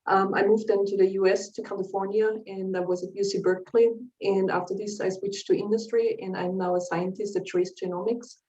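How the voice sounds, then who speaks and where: A woman's voice: mid-range voice, a little fast, few pauses, lo-fi sound. Austrian woman, distant and roomy on a call. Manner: careful, steady, with fillers. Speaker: soil scientist. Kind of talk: self-introduction on video call. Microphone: video call.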